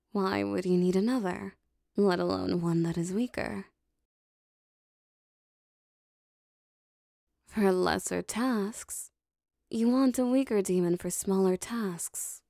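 A woman playing a powerful, possessive female demon devoted to her master, speaking formally and deliberately in an ASMR roleplay. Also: medium pitch, vocal fry, mellow, dry recording, clean studio sound. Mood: jealous, unsettled. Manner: formal, deliberate, intimate phrasing.

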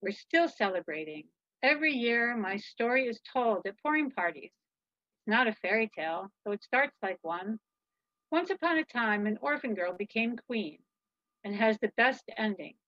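A woman with a fairly high voice reads a children's story expressively, as if it were her own tale. The sound is dry and slightly dull, like a video call. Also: animated, normal speed, fairly bright tone, dry recording. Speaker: author reading own children's book. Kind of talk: expressive storybook reading in the first person.

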